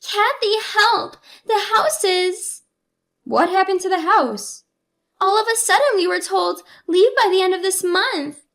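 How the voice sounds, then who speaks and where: Entitled young woman in a storytime drama, played by a female reader: shrill, panicky, indignant, very high voice. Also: expressive, moderate pace, hardly any pauses, fairly bright tone, exaggerated voice.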